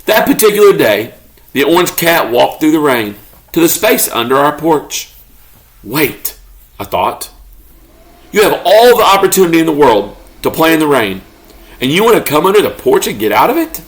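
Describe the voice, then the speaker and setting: Expressive grumbling storybook beagle read by a man. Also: a little high, normal speed. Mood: exasperated. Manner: expressive, mock-indignant storytelling. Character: good-natured, grumbling pet beagle narrating his own story.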